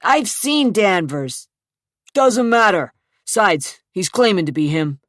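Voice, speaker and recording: A woman voicing a gruff, swearing male thug in an audiobook. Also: mid-range voice, very animated, slow, no echo, clean studio sound, pitched above the performer's own voice. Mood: rough. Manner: coarse, swearing. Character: gruff male thug, a hired knife man.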